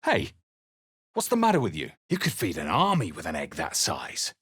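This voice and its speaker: Low, husky, wary adventurer. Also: male voice, huge pitch swings, moderate pace, a bit bright, no echo, full character voice. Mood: curious, cautious. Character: wary, wry fantasy adventurer hero muttering to himself. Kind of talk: short scripted game character remarks.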